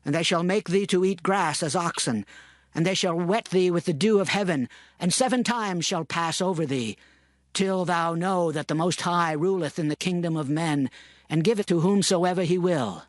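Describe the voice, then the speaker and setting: A man playing an earnest prophet, lightly pitched. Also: dry recording, close to an everyday voice. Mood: earnest warning. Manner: solemn, measured, deliberate. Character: earnest, respectful prophet counselling his king. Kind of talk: dramatized scripture reading. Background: music.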